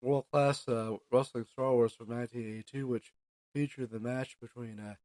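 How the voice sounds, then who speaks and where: A middle-aged man with an American accent hosts a casual solo podcast, rambling along in a halting way. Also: medium pitch, steady tone, a little mellow, dry recording. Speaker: podcast host.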